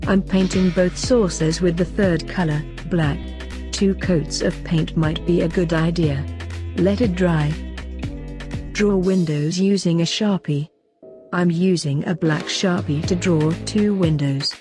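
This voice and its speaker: A woman reading scripted instructional narration in a measured, step-by-step way. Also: fairly low pitch. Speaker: host and narrator of a craft tutorial. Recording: voice over an instrumental music bed. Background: instrumental pop music.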